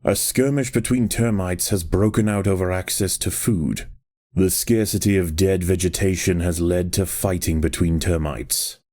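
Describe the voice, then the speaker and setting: A man with a very deep, dark and relaxed voice reads scripted wildlife narration for a game. His delivery is measured, with solemn drama in the phrasing. The sound is close and dry, like a studio voice-over. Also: no echo. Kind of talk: scripted nature documentary narration.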